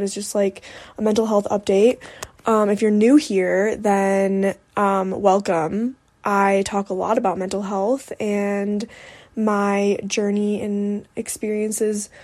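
A woman's voice: medium pitch, dark tone. A woman in her twenties hosting a solo podcast, talking casually in a candid, reflective way with lots of "like" as filler. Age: 20s. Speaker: podcast host. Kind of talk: casual solo podcast talk, personal update.

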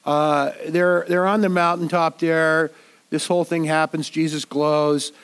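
A man preaching a sermon in a relaxed, conversational way while congested and a little nasal from a cold, often repeating words as he thinks aloud. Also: on the high side, a little slow, clean tone. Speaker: pastor.

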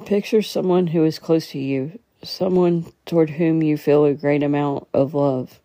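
A woman with a low, soft-edged mellow voice guides a meditation. She reads in a measured, soothing way, now and then tripping on a phrase. The sound is close and dry, with very little room around her. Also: fairly even intonation, no room sound. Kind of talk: guided meditation, slow instructional reading.

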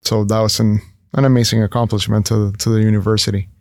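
A man's voice: lowish voice, little pitch movement, quick pace, a little mellow, no echo, studio quality. A man in his thirties from Ecuador, a guest in a casual interview, talking earnestly with many fillers and restarts. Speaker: guest, entrepreneur.

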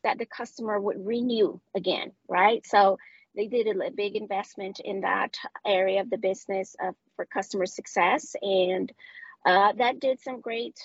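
Woman, Colombian accent, gravelly husky voice, dull video call sound. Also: medium pitch, moderate pace, dry recording. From Colombia, Medellín. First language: Spanish. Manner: hesitant, many restarts and fillers. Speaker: guest, customer success director. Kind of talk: casual interview conversation.

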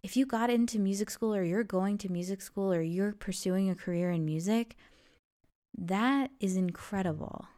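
A woman's voice: medium pitch, normal speed, warm tone. A young adult woman hosting a solo podcast, talking in an earnest, encouraging way. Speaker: podcast host. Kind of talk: reflective solo podcast monologue.

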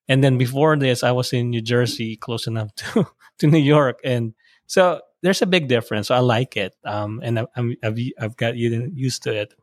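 A man from the Philippines hosting a podcast interview, supportive and quick with short affirmations. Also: medium pitch, no room sound, clean studio sound. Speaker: podcast host. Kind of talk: casual interview. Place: home in the United States.

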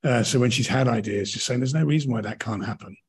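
A man's voice: mid-range voice, fast, slightly rough. A middle-aged British man in casual, reflective conversation, thinking aloud and often rephrasing as he goes. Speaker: podcast guest, actor and director.

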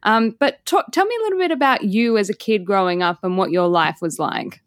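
A woman hosts a casual podcast interview over a video call. Her voice sits at a middle pitch and sounds dry and close, with no room around it. She chats in a friendly way and laughs easily. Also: lively intonation, fairly quick, dry recording. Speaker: podcast host.

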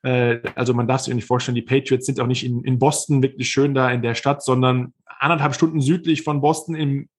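Man, 30s, husky voice, interview guest, rambling reflective storytelling, frequent hesitations. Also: medium pitch, flat delivery, a little fast, few pauses. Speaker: guest, former pro football player.

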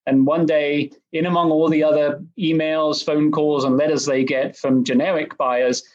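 A man from England with an English accent, a guest on a podcast interview, explaining his work in a measured, articulate way. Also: a little high, level tone, a little mellow, muffled recording. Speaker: guest, M&A advisor.

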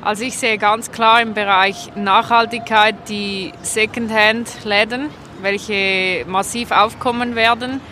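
A woman's voice: mid-range voice, fairly even intonation, takes it slowly, few pauses, sharp tone. A woman with a Swiss accent giving casual, spontaneous answers in a street interview. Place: outdoors on a city street. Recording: outdoor street noise with traffic.

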